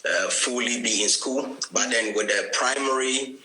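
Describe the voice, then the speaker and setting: A man's voice: medium pitch, unhurried, pushed and bright, roomy sound, slight background noise, low-quality recording. A man from Ghana, a guest evangelist on an online chat, speaking hesitantly with many fillers and restarts.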